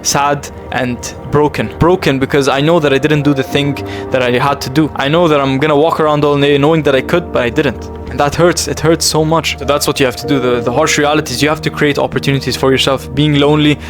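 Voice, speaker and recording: Fast-talking earnest young man, music under. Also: on the high side, steady tone. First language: English. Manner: earnest and intense, repeats phrases for emphasis, calls listener bro. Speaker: self-improvement vlogger. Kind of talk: emotional confessional monologue to camera. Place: bedroom.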